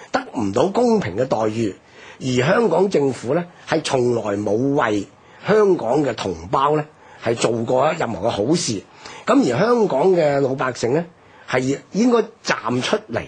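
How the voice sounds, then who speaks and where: Older hong kong guy, really raspy voice, explaining history on the radio, emphatic. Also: mid-range voice. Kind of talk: recounting history in a radio talk.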